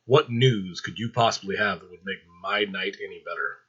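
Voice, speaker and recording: A man as a sly, husky Angel of Death. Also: low-pitched, moderate pace, fairly bright tone, highs cut off. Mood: irritated menace. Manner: grumbling, coaxing menace. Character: cruel, sly, manipulative male Angel of Death.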